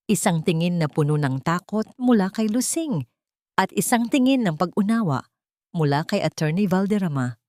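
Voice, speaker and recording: A woman's voice: sits low, a little mellow, no echo, studio quality. A woman with a Filipino accent reading a dramatic scripted audiobook story as its narrator.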